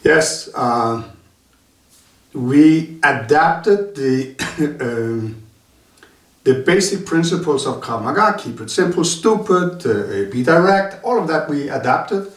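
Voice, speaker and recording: A man from Denmark, a martial arts instructor talking in a casual interview, halting with many filler sounds. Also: fairly high pitch, lively intonation. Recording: roomy sound from a distant mic.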